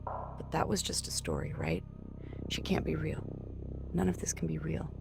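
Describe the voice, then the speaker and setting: A woman playing a frightened young American student, giving a hushed, breathy confession in a horror film monologue. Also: deep voice, very animated, moderate pace, music in the background, heavily acted. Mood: frightened, despairing. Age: young adult. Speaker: narrator of found-footage horror film. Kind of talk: first-person horror monologue, confessional recording. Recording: very noisy found-footage style recording, ambient hiss.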